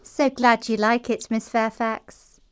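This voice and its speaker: A woman acting a sweet but sharp-tongued young English country heiress in an audio play comedy, prim and pointedly polite. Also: fairly high pitch, level tone, slow, clear, resonant tone. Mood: sweetly barbed.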